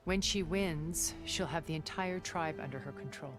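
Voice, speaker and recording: An actress playing a composed, calculating woman in a video game, speaking in a measured, formal, faintly sardonic way. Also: sits low, close to an everyday voice. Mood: cool, confiding. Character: composed, calculating woman, coolly pragmatic schemer. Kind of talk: video game cutscene acting. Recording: loud music behind. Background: loud game score.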